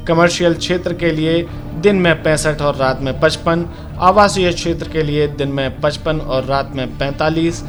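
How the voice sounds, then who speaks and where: A man reading a scripted news report as a narrator. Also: fairly high pitch.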